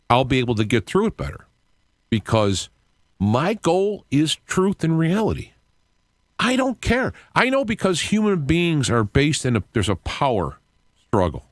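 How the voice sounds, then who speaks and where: A man's voice: mid-range voice, no room sound. Older man, dry close-miked radio host. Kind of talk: unscripted radio monologue. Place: cluttered home radio studio, indoors. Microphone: close studio microphone with headset.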